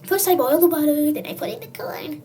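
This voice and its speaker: A young reader, heard as female, voicing a sweet, slightly crazy elderly grandmother with a thin, breathy, sing-song voice. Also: high voice, a little fast, dark tone, recorded from a distance, slight background noise, pitched above the performer's own voice, lightly acted. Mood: sing-song, eager.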